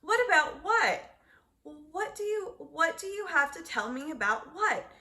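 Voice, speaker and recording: An eager, literal-minded little girl played by a woman reader in a storybook. Her voice is high and bright, full of exclamations and persistent questions. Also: expressive, measured pace, heavily acted. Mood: excited, insistent. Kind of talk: children's storybook read-aloud.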